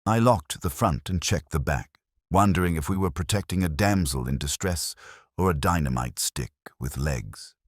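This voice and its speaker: A man's voice: a bass voice, moderate pace, no echo, clean studio sound. A man reading a first-person hardboiled detective story with a wry, wisecracking delivery. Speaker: narrator.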